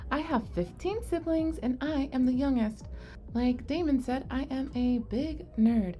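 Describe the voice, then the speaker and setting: A woman's voice: sits high, mellow. A woman playing a shy, curious, nerdy young goblin girl in an ASMR roleplay, speaking earnestly and expressively to the listener. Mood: cheerful, eager.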